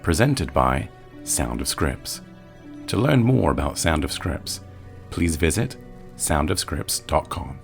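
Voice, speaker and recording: A man announcing the opening and closing of a recorded script in a slow, deliberate way. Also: very deep voice, lively intonation, gravelly, music in the background. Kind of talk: scripted intro and outro.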